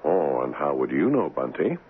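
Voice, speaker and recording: Deep-voiced, uneasy American husband. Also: male voice, very animated, normal speed, a little hiss or hum. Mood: curious, skeptical. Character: uneasy American husband, narrating his own eerie tale. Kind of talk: radio drama acting. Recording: muffled, tinny 1970s radio, music behind.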